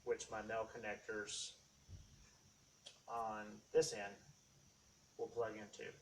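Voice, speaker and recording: A man's voice: lowish voice, little pitch movement, slow. Man explaining gear, recorded from a distance. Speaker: host of a how-to tech video series. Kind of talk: casual unscripted product walkthrough. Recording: distant mic, faint background noise.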